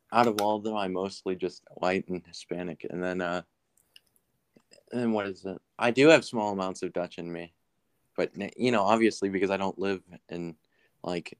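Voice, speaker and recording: A very low-voiced man chats on a podcast call with a dry, close sound and no room echo. He rambles in a laid-back way, padding his talk with uhs and likes. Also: clean tone. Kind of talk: casual chat.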